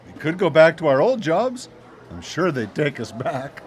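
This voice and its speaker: Smug, swooping supernatural boss. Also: male voice, fairly high pitch, very animated, moderate pace. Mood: smug, playful. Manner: theatrical, gloating. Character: smug, gleeful male boss of supernatural soul collectors. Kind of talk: live radio drama acting.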